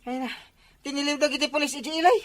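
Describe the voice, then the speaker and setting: An actor with an Ilocano accent in a comedy sketch, teasing and animated. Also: high-pitched, quick pace. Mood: excited.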